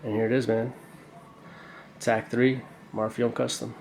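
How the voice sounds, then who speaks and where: A young man with a congested, stuffy voice talks through an unboxing in a loose, rambling way, peppering it with fillers and slang. His delivery stays fairly flat in pitch, with a roomy echo and a faint hiss in the background. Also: a little slow, gravelly. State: congested from a cold. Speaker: knife collector reviewing a purchase. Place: indoor room, camera over a tabletop.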